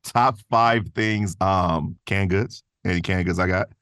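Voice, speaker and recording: A man's voice: sits low, a little slow, a bit bright, clean tone, no echo, studio quality. A man chatting casually as a podcast guest, easygoing and thinking aloud as he goes. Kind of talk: casual chat.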